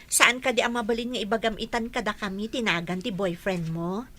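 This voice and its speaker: A woman with an Ilocano accent playing an excitable, teasing young bride-to-be in a radio comedy-drama. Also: mid-range voice, fairly quick. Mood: surprised.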